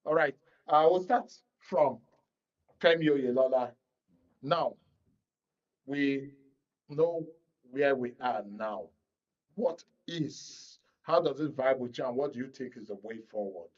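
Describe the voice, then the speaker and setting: A man's voice: mid-range voice, slow pace, pauses often. Nigerian man hosting, slightly muffled sound. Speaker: radio show host. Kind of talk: moderating a panel discussion. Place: radio studio. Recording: dull, muffled audio.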